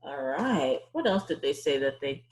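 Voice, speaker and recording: A woman teacher leading an interactive read-aloud for young children, playful and animated, often asking questions, with a slightly husky voice. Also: very deep voice, normal speed.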